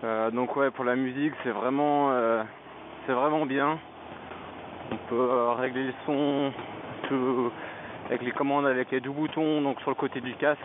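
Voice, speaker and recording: A man's voice: mid-range voice, fairly even intonation, normal speed. A man giving a casual, unscripted product review as a vlogger, hesitant and often searching for words, speaking into a helmet headset microphone. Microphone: Bluetooth motorcycle helmet headset microphone. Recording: tinny narrow-band helmet intercom mic, background noise.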